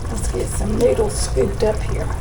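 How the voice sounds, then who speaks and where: A woman's voice: fairly high pitch, steady tone, normal speed. A woman with a Southern US accent chatting in a folksy, repetitive way as she cooks for viewers. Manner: folksy, chatty, repeats herself. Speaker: home cooking vlog host. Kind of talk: casual chat while cooking. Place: home kitchen. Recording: loud kitchen background noise.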